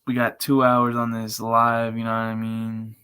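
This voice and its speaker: A man with a monotone, chill voice, rambling casually and slangily as a live streamer. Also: mid-range voice, moderate pace, smooth. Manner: laid-back, chill. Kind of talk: casual livestream chat.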